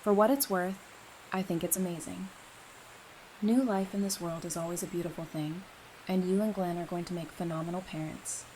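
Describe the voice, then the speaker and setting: An actress playing a supportive woman doctor in an audio drama, speaking informally with gentle teasing and reassurance. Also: on the low side, steady tone, normal speed, a little hiss or hum, lightly acted, low-quality recording.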